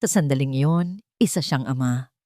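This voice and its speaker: A woman narrates a scripted romantic story. Her voice sits low for a woman. The recording is dry, with very little room sound. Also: normal speed, dark tone, dry recording.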